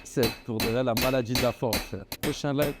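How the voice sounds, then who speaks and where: A man's voice: mid-range voice, fairly quick, noisy background. A young man streaming live from a forge workshop, teasing his friends in slangy casual banter. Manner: teasing, slangy, repeats words.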